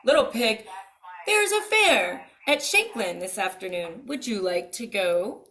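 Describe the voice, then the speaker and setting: Woman doing a loud, scheming big bad wolf. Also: animated, measured pace. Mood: sly coaxing. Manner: booming, coaxing and threatening. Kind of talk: storybook read-aloud.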